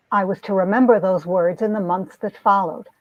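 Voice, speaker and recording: A woman's voice: mid-range voice, steady tone, a little slow, no room sound, muffled recording, natural voice. A woman playing a lovestruck young society heroine who narrates her romance with earnest melodrama, in an amateur comic reading. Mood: anguished. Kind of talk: dramatic reading of a 1950s romance comic, first-person narration.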